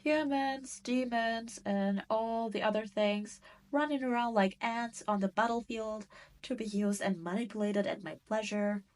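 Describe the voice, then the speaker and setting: A woman's voice: on the high side, a little mellow, close to an everyday voice. A woman playing a cruel, arrogant demon queen in a roleplay audio drama, teasing and condescending as she speaks straight to the listener. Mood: amused, condescending. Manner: teasing, condescending, drawn-out delivery. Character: cruel, arrogant adult female demon queen, mocking and possessive.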